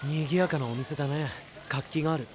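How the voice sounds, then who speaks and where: Guy doing an easygoing anime dude, casual n teasing, voice a little high. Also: steady tone, brisk, lightly acted. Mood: amused, friendly. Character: easygoing, friendly, faintly guarded young man. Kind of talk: anime audio drama acting.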